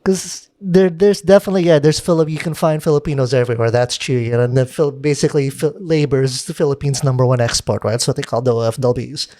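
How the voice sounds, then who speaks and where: A man in casual podcast conversation, chatty and animated, whose pitch climbs when he makes a point, with some casual swearing. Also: fast talker, hardly any pauses, no room sound.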